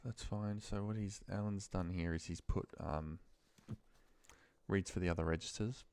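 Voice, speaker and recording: Male instructor, deep and fry-edged, casual unscripted software walkthrough with a muttered, thinking-aloud manner. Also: fairly even intonation, moderate pace. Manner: informal, muttering to himself while working. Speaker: instructor demonstrating a spreadsheet task. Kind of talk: casual screen-share tutorial, unscripted.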